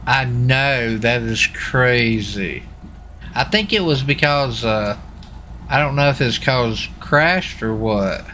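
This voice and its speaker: A man's voice: medium pitch, takes it slowly, sharp tone. A man who is streaming a roleplay video game, talking casually in a folksy, chatty way. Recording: noisy engine and street sounds behind. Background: vehicle and game ambience.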